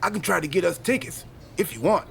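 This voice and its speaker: A man's voice: high-pitched, animated, fast talker, creaky. A man playing a chatty, quirky, earnest friend in video game cutscene dialogue. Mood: upbeat, rambling. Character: chatty, quirky, earnest male friend and time-travel teammate.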